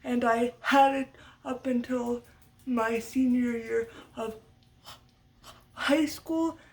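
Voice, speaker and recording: Slow-talking young woman who stutters. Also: on the high side, steady tone. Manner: frequent stutter with repeated sounds. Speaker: vlogger. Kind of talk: sit-down story time talk. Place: home room with her dog nearby. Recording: voice a bit far from the mic.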